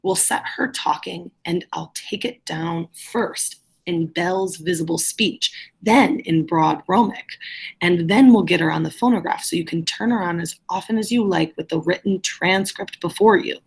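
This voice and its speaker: A woman teacher with a husky voice reading a play script aloud and directing readers in an online class. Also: lowish voice, moderate pace, low-quality recording.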